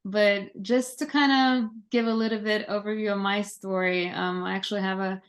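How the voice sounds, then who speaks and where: A woman's voice: medium pitch, normal speed. A woman in her thirties from Lithuania, a Lithuanian native speaker, telling her story in a casual interview over a video call. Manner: steady, many fillers and restarts. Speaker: guest, Army veteran. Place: home office.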